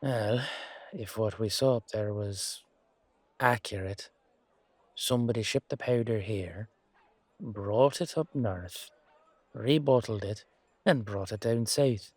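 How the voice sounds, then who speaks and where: A man playing a weary, down-to-earth knight guardsman in a fantasy audio drama, musing colloquially to his partner with hesitant pauses. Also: on the low side, a little mellow, no room sound. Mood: thoughtful, suspicious. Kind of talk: roleplay audio drama monologue addressed to a partner.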